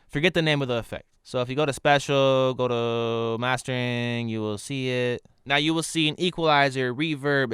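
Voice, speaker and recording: A man with a nasal voice who hosts a casual software tutorial in a relaxed, loose way, with fillers and offhand asides. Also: mid-range voice, clear, resonant tone, dry recording, clean studio sound. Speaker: audio tutorial host. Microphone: studio condenser microphone.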